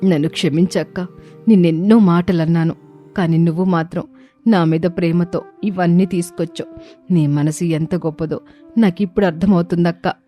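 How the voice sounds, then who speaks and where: A woman plays an envious village sister-in-law in a storybook drama. Her delivery is plaintive, in a lowish, dark-toned voice over soft music. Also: steady tone, normal speed, natural voice. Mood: remorseful. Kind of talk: acted moral story dialogue.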